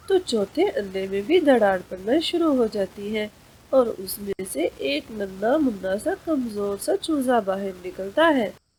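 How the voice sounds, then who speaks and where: Female children's storyteller, high register, very measured pace, noisy recording. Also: a little mellow. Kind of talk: scripted children's story narration.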